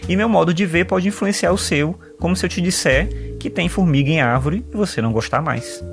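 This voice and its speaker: A man's voice: a little high, brisk, natural voice. A man playing a talkative male philosopher and sociology teacher in an audio drama, earnest and fond of quoting thinkers, with faint music underneath. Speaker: patient.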